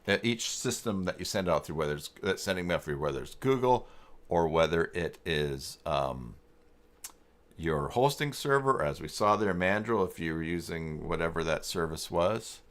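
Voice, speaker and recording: A man hosting a casual live tech tutorial, thinking aloud in a halting way and muttering to himself as he works. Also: low-pitched, expressive, a bit bright. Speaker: live stream host giving web tutorials.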